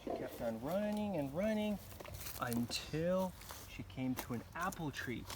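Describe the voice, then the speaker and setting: A man telling a folktale live to children in an expressive, dramatic manner, pausing to ask his listeners questions. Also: on the high side. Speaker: storyteller. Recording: noisy live recording, clear background noise.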